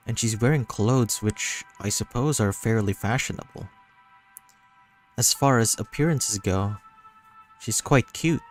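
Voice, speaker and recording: Slow-paced man narrating a visual novel. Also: lowish voice. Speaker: reader voicing a visual novel. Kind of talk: dramatic first-person scripted narration. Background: music.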